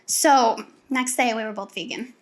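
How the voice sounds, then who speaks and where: A woman co-hosting a casual panel talk show, telling a personal story in an animated way and acting out what others said. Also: fairly high pitch, normal speed. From United States (born in Bulgaria, raised in Seattle). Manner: animated storytelling, acts out quoted dialogue. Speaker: co-host.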